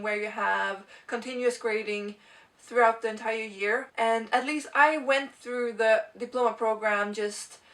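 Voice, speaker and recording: Young woman, Swedish native speaker, fairly high voice, earnest chatty vlog talk, mic a little far off. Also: fairly bright tone. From Sweden. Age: young adult. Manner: earnest and chatty, shares study advice. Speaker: vlogger. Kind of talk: casual talk to camera.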